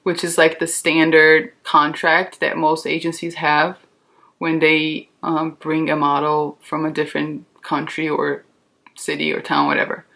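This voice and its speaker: A woman's voice: low-pitched, monotone, unhurried, creaky, fairly bright tone. A woman in her thirties from Brazil, a Portuguese speaker, chatting in a candid, reassuring, informal way like a beauty vlogger. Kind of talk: casual chatty makeup tutorial.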